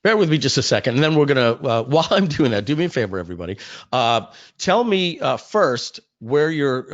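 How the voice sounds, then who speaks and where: A man hosts a live webinar in a casual, chatty way. His mid-pitched voice is a little hoarse, and it comes through close and dry with a slightly muffled sound. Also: dry recording. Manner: chatty, informal, addressing the audience directly. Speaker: company founder hosting a webinar.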